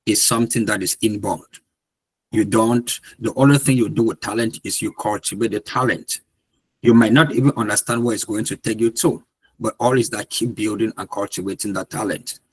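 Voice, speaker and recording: A man's voice: lowish voice, a bit bright, husky, dry recording, rough recording. A man from Cameroon, giving an earnest, repetitive motivational talk over a video call as guest speaker.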